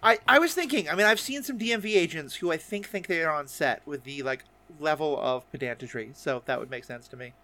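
A man's voice: fairly high pitch, a little fast, few pauses, bright, forceful tone. A man hosting a casual interview podcast, talking enthusiastically in rambling questions. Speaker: podcast host interviewing guests.

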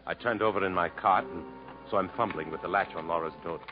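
A man's voice: low voice, quick pace, lightly acted. An actor playing a guarded, protective jungle traveler who tells his own story in 1950s radio style. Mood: tense, firm. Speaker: narrator and lead actor in a radio drama. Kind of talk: 1950s American radio acting. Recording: dull vintage radio recording with music.

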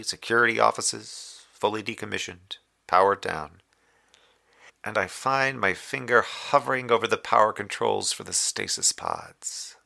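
Lonely moonbase radio officer, very low pitch, creaky edge, brooding, halting, guilt-ridden, audio drama monologue. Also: male voice, sharp tone. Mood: bleak. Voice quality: low, gravelly. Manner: halting, brooding, drifting into recited poetry.

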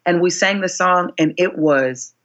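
Expressive woman in her forties telling stories. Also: a little slow, highs cut off. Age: 40s. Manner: expressive, voice climbs high when retelling moments. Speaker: guest singer. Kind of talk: interview storytelling.

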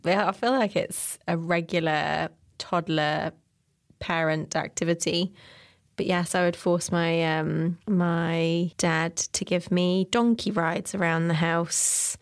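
A woman in her thirties from Wales talks in a relaxed, giggly way, often teasing the person she's talking with. Her voice sits fairly low and has a gravelly fry to it. The recording is dry and close, with no room sound. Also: slow, no echo. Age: 30s. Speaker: podcast guest. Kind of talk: casual chat.

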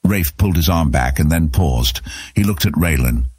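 A man's voice: very deep voice, lively intonation, moderate pace, a little mellow, slightly rough, no room sound, clean studio sound. A man narrating a scripted fantasy story as an audiobook narrator.

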